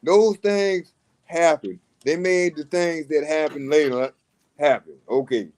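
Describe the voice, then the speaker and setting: A man's voice: fairly high pitch, darkish tone. A man in casual political chat, heated and profane, leaning hard on his words. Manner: heated, profane, drawn-out emphasis.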